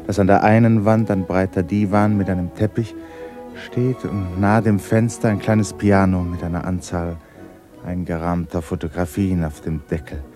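An actor playing an intimate narrator of a melancholy love story in a 1960s radio play, hushed and measured. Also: male voice, sits low, fairly even intonation, lightly acted. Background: music.

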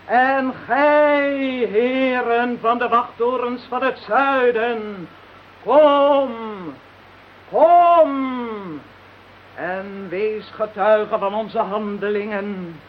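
High-pitched, self-assured witch. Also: drawn-out words. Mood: solemn incanting. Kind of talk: Dutch radio play acting. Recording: dull, slightly hissy radio drama recording.

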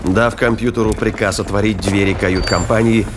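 A man narrating a scripted audio drama in a measured, literary storytelling manner. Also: lowish voice, fairly even intonation, background noise.

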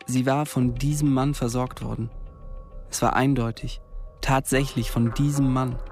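Male actor as young interrogator, probing, mid-pitched, radio drama, music clearly underneath. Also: level tone, moderate pace, close to an everyday voice. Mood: brooding, calculating. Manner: probing, insistent questioning. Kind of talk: radio drama dialogue and narration.

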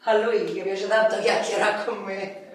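An actress playing a rough, candid, wounded ex-convict street woman with a Roman accent, in a colloquial, rambling radio theatre monologue. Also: mid-range voice, steady tone, measured pace, a little hiss or hum, natural voice. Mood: tender. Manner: colloquial, rambling, confiding, with outbursts. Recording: very echoey room, distant microphone.

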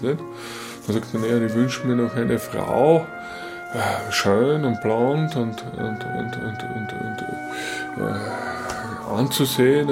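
A man with an Austrian accent tells a joke casually in a gravelly, middle-pitched voice. He stumbles along, repeating words and checking in with short questions. Music plays clearly behind him. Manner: halting, repeats words, tags sentences with a questioning "nicht". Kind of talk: casual spoken joke-telling.

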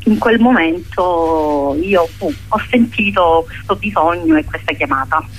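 A woman's voice: fairly low pitch, measured pace, faint music behind. A woman talking reflectively in an interview, hesitant, often searching for words and repeating herself. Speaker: playwright being interviewed. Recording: narrow phone-line sound.

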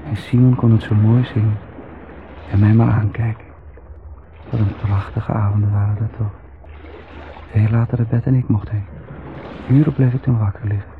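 A male actor as a husband alone in the sea, musing wryly to soothe himself in a lowish voice. It is an old radio play, muffled, with clear noise and watery sounds behind him. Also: close to an everyday voice. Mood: wistful. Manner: musing, wry, talking to himself. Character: brooding, self-soothing husband swimming out to sea. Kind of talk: radio drama monologue.

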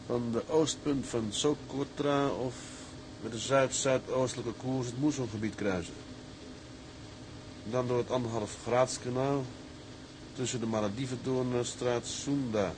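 A man acting as a decisive tugboat captain in a seafaring radio play. He speaks at an ordinary male pitch, deliberate and commanding, like someone weighing a risk. There is clear ambient noise around the voice. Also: fairly even intonation, close to an everyday voice. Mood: musing, measured. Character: resolute tugboat captain, thoughtful, decisive, early 1900s seaman. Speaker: actor in a radio drama. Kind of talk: Dutch audio drama acting.

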